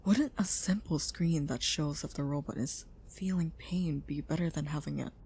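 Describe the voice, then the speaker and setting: A woman's voice: low-pitched, normal speed, warm tone, slight background noise. A woman playing an earnest, curious scientist in a scripted audio drama. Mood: puzzled, uneasy. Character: earnest, curious female scientist who built a robot. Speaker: voice actor in an audio roleplay.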